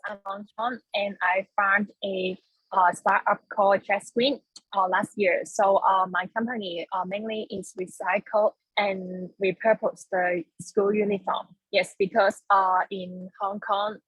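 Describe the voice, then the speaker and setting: A woman who runs a small startup introduces herself on a video call. Her voice is a touch breathy and close and dry, and she speaks haltingly with many fillers. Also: medium pitch, fairly bright tone, no echo, rough recording. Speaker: startup founder.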